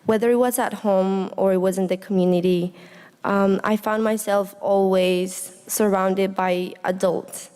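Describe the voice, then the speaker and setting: A woman's voice: medium pitch, steady tone, measured pace, a little mellow, clear, resonant tone. Young woman speaking in an echoey hall. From Honduras. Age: young adult. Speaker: student speaker. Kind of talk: personal reflective speech to an audience. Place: indoor hall, speaking to an audience. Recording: echoey hall with long reverb.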